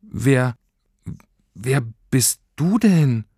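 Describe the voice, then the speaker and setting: A man's voice: mid-range voice, animated, slow pace, no echo, clean studio sound, voice pushed up. A man voicing a young homesick girl in a children's storybook, stubborn, unsure and hesitant. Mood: confused, stammering. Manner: hesitant, sulky.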